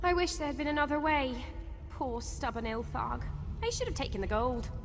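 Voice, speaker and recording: A woman voicing a spirited young female adventurer in a video game, chatty, earnest and wryly expressive. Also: high-pitched, normal speed, in a large hall, over music. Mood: regretful. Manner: expressive, wry. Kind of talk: video game character dialogue.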